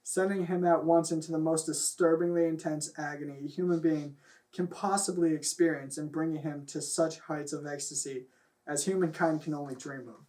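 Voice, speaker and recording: A man's voice: fairly high pitch, steady tone, moderate pace, warm tone, rough recording. A man reading fan fiction aloud and chatting casually about it, with a loose, sweary delivery. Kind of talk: reading a story aloud, then casual chat.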